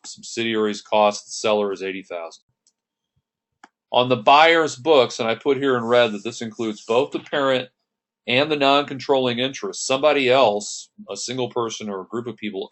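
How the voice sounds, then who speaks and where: A man teaching an accounting lesson, working through the entries one step at a time and clearing his throat now and then. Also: mid-range voice, a bit bright. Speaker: accounting instructor. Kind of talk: lecture over slides.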